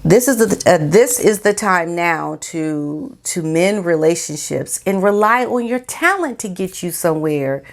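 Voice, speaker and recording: A woman's voice: lowish voice, animated, a little slow, hardly any pauses. An American woman giving informal opinion commentary as a vlogger, speaking in a sassy, emphatic, colloquial way.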